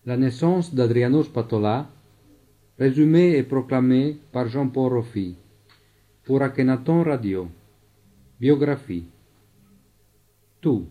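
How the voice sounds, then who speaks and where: A man recites a sound poem for radio in steady, incantatory repetition. His voice sits at a middle pitch. The sound is thin and phone-like. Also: slow, pauses often. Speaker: radio reader. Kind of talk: sound poetry performance.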